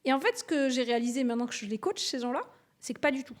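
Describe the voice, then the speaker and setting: Here is a woman with a fairly high voice, hosting a relaxed interview podcast. She talks casually, murmurs along while listening, and works her questions out aloud before asking them. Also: racing. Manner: conversational, frequent listening murmurs, thinks aloud. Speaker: podcast host and executive coach.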